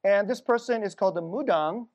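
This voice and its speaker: Korean American woman preaching conversationally. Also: brisk. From United States. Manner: conversational, storytelling, drops to a hushed low voice for eerie moments. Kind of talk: sermon with personal stories.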